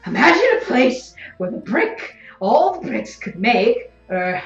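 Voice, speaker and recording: A woman's voice: on the high side, sing-song, takes it slowly, full character voice. A woman acting as a solemn former slave turned mystic orator in an audio drama. The speech is stilted, dreamy and ponderous. It echoes, with a dull sound and faint music. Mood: brooding, intense. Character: solemn former slave speaking in philosophical metaphor.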